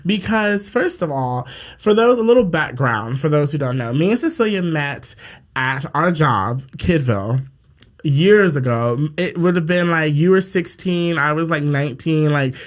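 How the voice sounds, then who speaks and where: High-voiced young man chatting playfully. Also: measured pace. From Japan. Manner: animated, slangy, playful storytelling. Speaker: podcast host. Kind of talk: casual chat.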